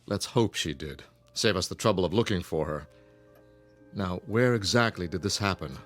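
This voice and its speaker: A man's voice: low voice, normal speed, fairly bright tone, faint music behind. An actor playing a stern, wealthy, protective middle-aged father in a radio drama, speaking formally and with authority. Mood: calm, coaxing.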